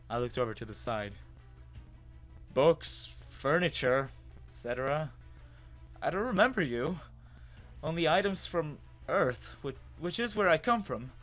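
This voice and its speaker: A man's voice: on the high side, fairly bright tone, full character voice. A man as a bewildered, hesitant human narrator. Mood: confused, hesitant. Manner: hesitant, dramatic, wondering. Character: bewildered human man with lost memory, narrating his story. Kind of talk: first-person dramatic story narration.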